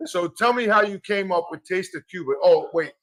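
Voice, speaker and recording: A man hosting a podcast live from a cigar shop, loud, joking and profane. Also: high voice, normal speed. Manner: boisterous, profane, teasing. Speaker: podcast host.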